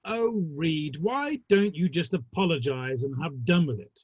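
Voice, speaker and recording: A breezy Victorian gentleman, acted by a man, husky and animated, tosses off arch, flippant remarks in a stage-play reading. The sound is dry and a bit dull. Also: fairly high pitch, unhurried, no room sound. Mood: flippant, soothing. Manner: arch, flippant, old-fashioned wording. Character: breezy, wry Victorian gentleman, jovial literary clubman.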